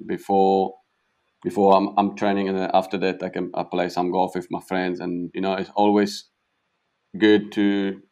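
A young Italian man with a slight South African tinge, a pro golfer answering interview questions in halting English that repeats words. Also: deep voice, little pitch movement, mellow. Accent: Italian, with a touch of South African. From Italy.

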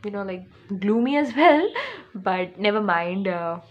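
A teenage girl from India talks straight into a phone camera held close, in a small room at home. Her Indian English is loose and chatty, full of fillers and self-corrections as she wanders from thought to thought. Her voice sits at an ordinary middle pitch. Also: animated, measured pace, dull audio. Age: teens. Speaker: vlogger. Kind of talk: casual first vlog chat to camera. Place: small room at home, indoors, books behind.

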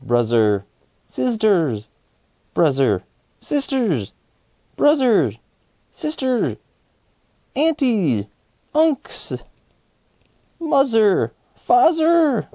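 A man voicing an excitable little fly from a children's storybook, blurting single buzzy, z-filled words. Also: high-pitched, very slow, long pauses, no room sound, voice pushed up, exaggerated voice. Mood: delighted. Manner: buzzy mispronounced one-word exclamations with z sounds. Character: excitable little pet fly and his fly relatives. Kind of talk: children's storybook read-aloud.

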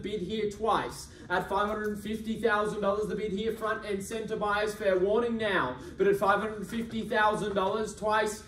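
A young man working as an auctioneer, calling bids at a live property auction in a brisk, persuasive, repetitive way. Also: high-pitched, fairly bright tone, a little hiss or hum. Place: function room with audience. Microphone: far camera. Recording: echoey room from far off.

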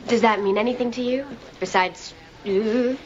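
A woman's voice: a little high, normal speed, natural voice. A woman acting a wry, sardonic teenage girl in a television drama. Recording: dull, noisy replayed TV audio.

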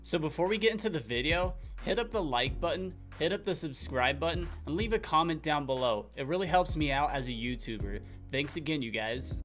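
A young man with a slightly high voice presents an online tutorial. He addresses viewers directly and walks through instructions step by step in an upbeat scripted delivery. Light music plays behind him. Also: animated, a little fast, hardly any pauses, a bit bright. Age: young adult. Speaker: tutorial video host. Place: small indoor room at a desk. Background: faint background music.

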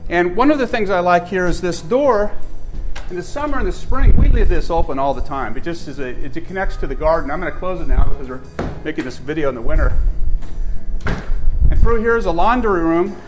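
A man's voice: a little high, lively intonation, brisk, over music. An older man chatting enthusiastically as he walks through his house, pointing out its rooms. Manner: chatty, enthusiastic, frequent restarts. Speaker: homeowner giving a house tour. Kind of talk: casual walking tour narration. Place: inside a house, moving room to room. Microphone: camera mic, filmed walking behind him.

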